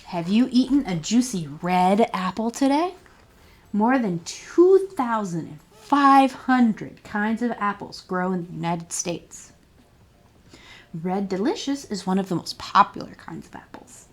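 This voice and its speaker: A woman's voice: medium pitch, expressive, unhurried. A woman reading a children's picture book aloud as a storytime librarian, expressively and with questions put to young listeners.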